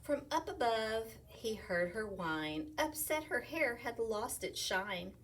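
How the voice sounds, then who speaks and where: A woman reading a picture book aloud to children in an animated way, asking them questions and sometimes dropping to a whisper. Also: a little high, takes it slowly, distant mic, slight background noise. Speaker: storytime reader, teacher.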